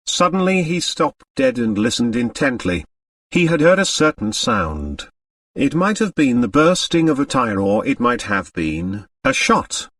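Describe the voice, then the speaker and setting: A man reads scripted fiction as an audiobook narrator. His mid-pitched voice moves expressively while the telling stays deliberate and measured. The recording is dry, set slightly back from the mic. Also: fairly bright tone, dry recording, recorded from a distance.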